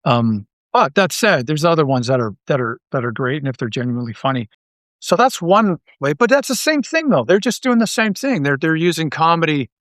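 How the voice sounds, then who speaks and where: Higher-voiced man rambling in casual interview chat. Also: very animated, brisk, a bit bright, no echo, clean studio sound. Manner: rambling, thinking aloud with false starts and repeated words. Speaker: interview guest, audio drama writer and creator.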